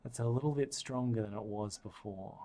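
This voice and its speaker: A man guiding a breathing meditation, speaking softly and soothingly with long pauses. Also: fairly low pitch, a little hiss or hum. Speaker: meditation guide.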